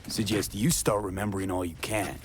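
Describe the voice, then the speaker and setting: An actor playing a terse, hard-edged mercenary in a video game, speaking in clipped, threatening lines. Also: male voice, sits low, steady tone, fairly quick, over music, lightly acted. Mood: menacing. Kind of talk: video game cutscene acting.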